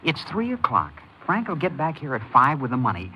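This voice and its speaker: An actor playing a cocky, jittery young robber and addict in an old crime radio drama, with an American accent and a restless, insistent delivery. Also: male voice, medium pitch, huge pitch swings, brisk, lo-fi sound, slight background noise. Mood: insistent, reassuring.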